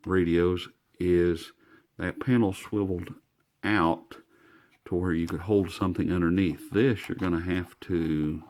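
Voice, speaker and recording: A man's voice: sits low, gravelly. An American man giving a casual, hands-on product review in an enthusiastic, folksy way, recorded indoors. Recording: faint background noise, indoor room.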